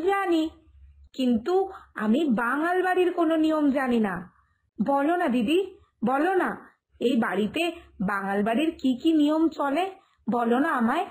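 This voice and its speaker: A woman's voice: high voice, darkish tone. A woman playing an eager, naive young daughter-in-law in a cartoon village tale, talkative and coaxing. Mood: curious, pleading. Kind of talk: Bengali cartoon village family tale acting.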